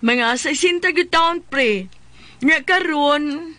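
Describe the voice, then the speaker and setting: A man playing an insistent creditor and family friend, pressing and pleading haltingly in a comic radio drama with a Cebuano accent. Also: very high-pitched, sharp tone, no echo, full character voice.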